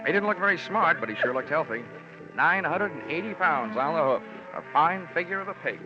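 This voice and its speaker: An actor playing a sardonic, flirtatious insurance investigator in a 1940s radio drama, glib and wry. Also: male voice, a little high, animated, a little fast, natural voice. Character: wisecracking, flirtatious hard-boiled male insurance investigator. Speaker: lead actor and narrator. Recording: muffled vintage radio broadcast with music.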